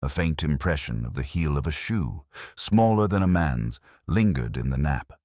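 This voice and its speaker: A man playing a brooding police inspector who narrates a murder case in a measured, reflective, literary way. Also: a bass voice, animated, a little mellow, no echo, clean studio sound. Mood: quietly suspicious. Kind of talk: first-person scripted detective story narration.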